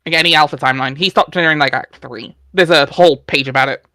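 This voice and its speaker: Livestream host for a dramatic reading, medium pitch, informal, recorded close and dry. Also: quick pace, sharp tone, no room sound. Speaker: stream host and reader. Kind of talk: dramatic reading mixed with casual livestream chat.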